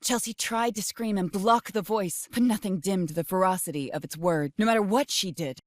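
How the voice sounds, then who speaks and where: A woman's voice: mid-range voice, moderate pace, dry recording, clean studio sound. A woman narrating a dramatic scripted horror audiobook.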